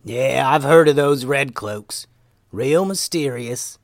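A man's voice: medium pitch, takes it slowly, no echo, clean studio sound, voice pushed up. A man voicing an easygoing, folksy ninja master in a children's story, with a casual drawl. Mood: thoughtful.

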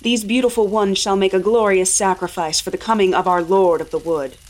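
A woman's voice: mid-range voice, steady tone, brisk, close to an everyday voice. A woman voicing a menacing male cult leader in a horror audio story, declaiming in a ceremonial, grandiose way. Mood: solemn, grandiose.

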